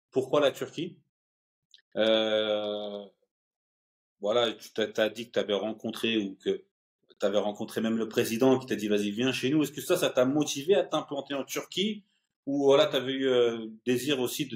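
A man, asking questions as a show host in a casual, hesitant way. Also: on the low side. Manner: hesitant, halting phrasing. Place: small indoor room, video call.

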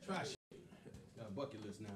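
A man's voice: lowish voice, sing-song, a little fast, a crowd in the background. A man in his thirties doing a loose, profane stand-up set on a small club stage, with a handheld mic. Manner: loose, profane, storytelling with act-outs. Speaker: stand-up comedian. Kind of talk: stand-up comedy routine. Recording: roomy club, distant mic, loud laughter.

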